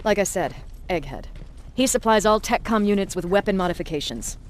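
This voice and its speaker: An actress playing a tough, stern resistance commander in a video game, authoritative, curt and dryly sardonic. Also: female voice, medium pitch, expressive, a little fast, slight background noise, lightly acted. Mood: brisk, commanding. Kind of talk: dramatic video game acting.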